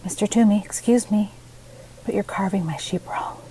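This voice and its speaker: A woman's voice: medium pitch, normal speed, mellow, slightly rough, low-quality recording. A woman voicing a polite, curious seven-year-old boy in a storybook, eager and piping. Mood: polite, hushed.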